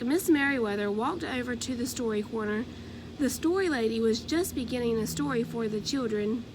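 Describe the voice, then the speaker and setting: A woman narrating a children's storybook aloud in an expressive, deliberate way. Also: fairly high pitch, noisy background.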